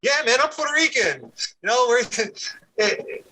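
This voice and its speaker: Bright, high-voiced man reminiscing casually. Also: animated, measured pace, a little hiss or hum, low-quality recording. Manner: rambling, repeats words, laughs easily. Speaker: podcast guest. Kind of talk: casual conversation.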